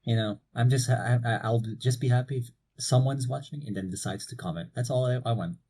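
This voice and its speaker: A young man from Portugal, a university student, co-hosting a casual podcast chat, relaxed and swearing freely on a cheap mic. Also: medium pitch, a little fast, a little hiss or hum. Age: young adult. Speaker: podcast co-host. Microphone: cheap low-quality mic.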